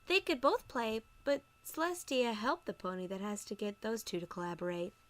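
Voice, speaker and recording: A woman performs a bashful, polite female pony from a storybook. The voice is high and sweet, with courteous, gentle delivery suited to audiobook dialogue. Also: very animated, normal speed. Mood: informative. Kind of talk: audiobook character acting.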